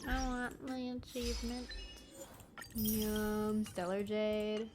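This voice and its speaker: A woman's voice: mid-range voice, level tone, slow, noisy background. A woman playing through a game with casual, playful commentary and brief reactions. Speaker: gameplay streamer. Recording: loud game music behind voice.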